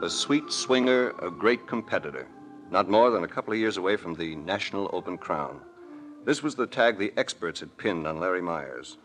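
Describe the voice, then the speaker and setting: A man's voice: low voice, animated, normal speed, fairly bright tone, soft background music. An actor playing a suave, wry adventurer hero who narrates his own case in an old radio detective drama. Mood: wry narration. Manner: smooth, wry, flirtatious, unflappable. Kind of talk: 1940s-50s radio detective drama acting and first-person narration.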